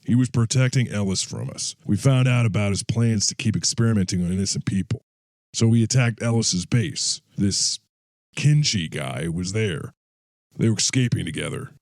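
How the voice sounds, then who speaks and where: A man playing a blunt, gruff war veteran with a grudge in an audio drama. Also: on the low side, expressive, moderate pace, a little mellow, no room sound. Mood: bitter, intense. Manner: blunt, swearing war storyteller. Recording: battle sound effects, faint noise.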